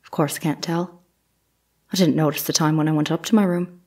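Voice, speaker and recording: Actress as Victorian housemaid on the stand, lowish husky voice, plain, deferential, courtroom audio drama. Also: female voice, fairly even intonation, a little fast, darkish tone. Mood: plain, respectful. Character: plain-spoken 19th-century housemaid, a respectful witness. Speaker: actor.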